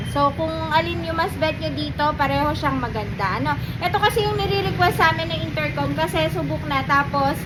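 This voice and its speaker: A woman presents products to customers in a casual, informal sales patter dotted with short tag words. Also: very high-pitched. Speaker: motorcycle shop presenter. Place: motorcycle gear store. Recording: noisy, with vehicle sounds behind.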